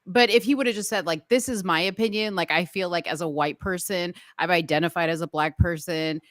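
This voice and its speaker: A woman co-hosting a talk show, speaking frankly and chattily in a casual debate, quick to laugh. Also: on the low side, steady tone, a bit bright, dry recording, clean studio sound. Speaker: show co-host.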